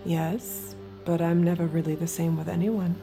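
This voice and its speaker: An actress playing a guarded, detached young escort in an intimate audio drama, speaking in a cool, measured way. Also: female voice, lowish voice, fairly even intonation, over music, natural voice. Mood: guarded, reflective. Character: guarded, detached young woman escort hiding her true self. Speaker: lead character and narrator. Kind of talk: intimate audio drama acting with inner narration.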